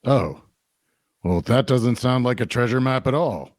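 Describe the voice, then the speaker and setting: Unimpressed pub keeper, lively intonation. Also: male voice, mid-range voice, dry recording, studio quality. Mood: awkward, nudging. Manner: halting, polite but unimpressed. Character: burly, no-nonsense pub keeper, a man, mildly impatient. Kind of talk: audio drama dialogue.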